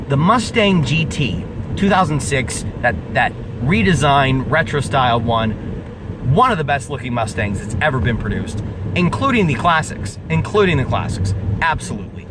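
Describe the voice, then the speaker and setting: A man in his twenties, vlogging in a casual rant that is profane and emphatic, repeating himself and laughing at his own jokes. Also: medium pitch. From US Pacific Northwest. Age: 20s. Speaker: car vlogger. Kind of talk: casual ranting vlog. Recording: outdoor recording, mic at a distance, traffic noise.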